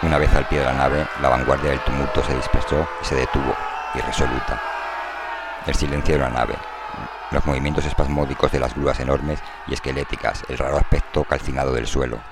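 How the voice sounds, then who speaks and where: A man narrates a literary story for radio in an extremely deep voice with a gravelly creak. Loud music runs underneath his reading throughout. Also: little pitch movement, fairly quick. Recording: prominent music bed under the voice.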